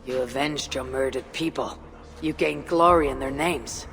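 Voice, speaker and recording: An actor playing a steadfast Viking warrior in a video game, speaking in a measured, terse, stoic way. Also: male voice, medium pitch, vocal fry, a bit bright. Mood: solemn, earnest. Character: steadfast, composed Viking warrior and clan leader. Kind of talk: dramatic video game cutscene acting. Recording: music under the voice.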